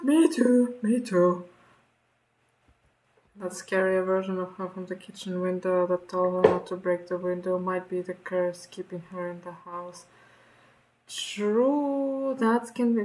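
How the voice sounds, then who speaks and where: A woman streaming a visual novel, reading the narration aloud and reacting in an expressive, sweary way. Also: mid-range voice, unhurried, low-quality recording.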